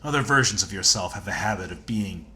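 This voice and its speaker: A man's voice: low voice, lively intonation, fast talker, pushed and bright, heavily acted. A man playing a confident, sardonic, combative interstellar rival in a video game, speaking in a dry, cocky manner. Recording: echoey, roomy sound.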